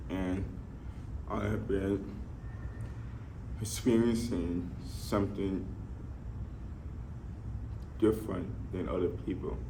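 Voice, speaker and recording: Male, 20s, speech impediment. Low voice, halting earnest testimony, some background noise. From Nigeria. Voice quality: speech impediment, imperfect articulation. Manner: halting, earnest, short broken phrases. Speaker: fitness enthusiast sharing life story. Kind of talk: personal testimony to camera.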